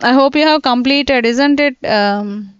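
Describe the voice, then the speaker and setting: A high-pitched woman teaches an online class step by step, repeating points and checking in with students. Her voice is dry and dull, like lo-fi laptop audio. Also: unhurried, smooth, no echo. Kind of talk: live online class lecture. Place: indoors, teaching from a computer. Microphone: laptop mic.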